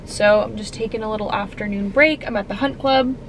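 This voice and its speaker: A woman's voice: medium pitch, brisk, roomy sound, a little hiss or hum, rough recording. A young woman chatting casually to her handheld camera in a vlog, full of fillers and candid, a little tired. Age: young adult. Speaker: vlogger. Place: home bathroom, kitchen and car, indoors. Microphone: handheld camera at arm's length.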